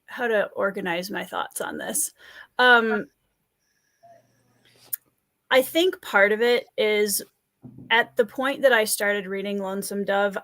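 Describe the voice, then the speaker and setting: A woman on a book discussion panel, giving her opinions casually. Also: mid-range voice.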